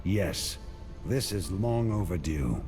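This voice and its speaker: A grim warrior hero in a fantasy game. He speaks low and tersely, with resolute drive and lively swings in pitch. Music plays clearly behind him. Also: slow pace. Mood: grim resolve. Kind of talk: video game cutscene acting.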